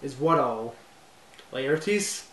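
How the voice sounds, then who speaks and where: A man's voice: medium pitch, lively intonation, extremely slow, a bit bright. A young man playing a polite, deferential advisor who talks formally, as if giving a briefing, recorded in a living room. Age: young adult. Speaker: actor in an amateur mob drama. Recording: roomy room audio from a far camera, faint hiss.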